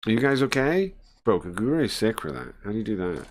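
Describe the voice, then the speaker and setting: A man reading and translating a visual novel aloud on a stream in a halting, informal way, often searching for words and chatting along. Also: very animated. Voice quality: low-pitched voice. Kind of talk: casual live read-through, translating and chatting.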